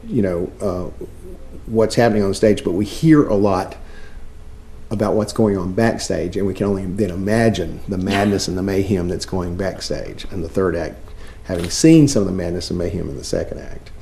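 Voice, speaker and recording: A man's voice: low-pitched, normal speed, vocal fry, mellow, a little hiss or hum. A man, a theater director, chatting in an interview, laughing often and poking fun at himself. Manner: chatty, laughing, self-deprecating. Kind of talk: casual interview chat.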